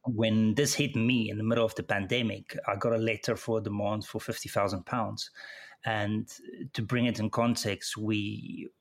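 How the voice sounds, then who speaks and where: A middle-aged man with a South African accent, telling his own story in an interview, halting and often repeating words as he restarts. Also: lowish voice, little pitch movement, creaky. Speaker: interview guest. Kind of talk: personal account in conversation.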